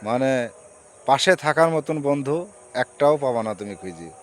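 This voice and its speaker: A man's voice: mid-range voice, lively intonation, normal speed. A man with a rural Bengali dialect accent talking casually and reflectively to his viewers, in a plain-spoken, repetitive, moralizing way. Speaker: social media vlogger.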